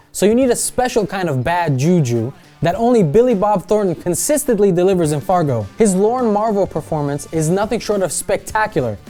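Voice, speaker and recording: A woman's voice: medium pitch, warm tone. A woman presenting a scripted countdown list with chatty, opinionated pop-culture commentary.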